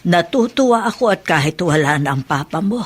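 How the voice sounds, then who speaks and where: A woman's voice: fairly low pitch, expressive, brisk, husky. A woman playing an affectionate elderly grandmother from the province in a Filipino radio drama, with a Filipino accent. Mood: fond, gentle.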